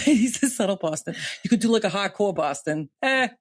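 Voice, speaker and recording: A woman's voice: medium pitch, expressive, fairly quick. A woman hosting a podcast, chatting casually with a friend, laughing often and repeating phrases. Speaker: podcast host. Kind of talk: casual chat between friends.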